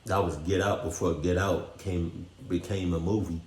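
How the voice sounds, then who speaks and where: A man's voice: sits low, steady tone, normal speed, a little mellow, a little hiss or hum. A man giving casual, slangy commentary as the host of a reaction video. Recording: roomy, with faint music behind.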